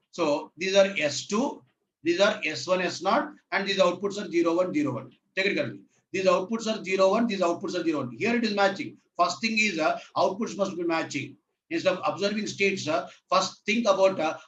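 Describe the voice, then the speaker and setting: A man's voice: high voice, fairly bright tone, slight background noise. A man with a sore throat, teaching an online lecture, repeating each point. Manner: repetitive, asks if audible, says sir. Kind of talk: online lecture on state reduction. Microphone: laptop mic. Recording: distant, echoey, dull laptop mic.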